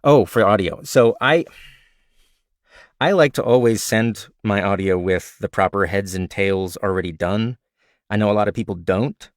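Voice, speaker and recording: A man with a slightly raspy voice, an audiobook narrator casually answering questions and sharing recording tips. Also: fairly low pitch, moderate pace, no room sound, clean studio sound. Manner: relaxed, chatty, self-described nerd with frequent fillers. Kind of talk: casual Q&A livestream talk.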